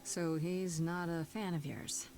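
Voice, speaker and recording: An actress playing a determined, blunt young mortal heroine in a video game, earnest and questioning. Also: female voice, fairly low pitch, fairly even intonation, takes it slowly, a little mellow. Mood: wry, challenging. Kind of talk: dramatic video game voice acting. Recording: music under the voice.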